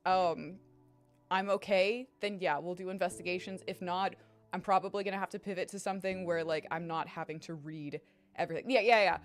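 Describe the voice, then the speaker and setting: A young woman streamer chatting casually with her viewers, her voice strained and tired, rambling and swearing freely. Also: medium pitch. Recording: faint music behind voice. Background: faint music.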